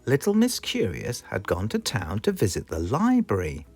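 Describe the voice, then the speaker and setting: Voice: mid-range voice, normal speed, husky. A British narrator reading a children's story expressively, drawing the listener in. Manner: expressive, asks the listener questions. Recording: music playing behind the voice.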